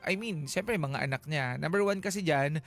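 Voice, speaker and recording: A man's voice: on the high side, moderate pace, a bit bright. A man with a Filipino accent co-hosting a radio advice show, talking casually in emphatic, playful Taglish with frequent rhetorical tags, in a studio. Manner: emphatic, playful, Taglish code-switching, rhetorical tag questions. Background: background music.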